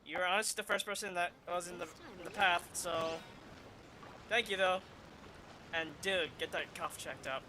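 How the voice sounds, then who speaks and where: A man's voice: high-pitched, fairly even intonation, moderate pace, background noise. A man hosting a gaming video with casual let's play commentary. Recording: game music behind the voice.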